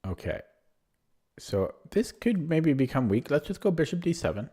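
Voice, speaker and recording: A man's voice: fairly high pitch, very animated, moderate pace, mellow, breathy edge. A man streaming online chess, thinking aloud through his moves with a hesitant, self-deprecating manner and frequent fillers. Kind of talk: live game commentary.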